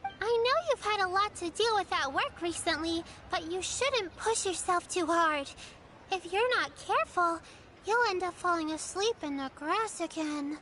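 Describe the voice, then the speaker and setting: Bright, very high little girl. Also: expressive, normal speed, slight background noise, heavily acted. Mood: caring. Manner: earnest, fussing, polite. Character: sweet, dutiful, caring little girl apprentice. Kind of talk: video game voice acting.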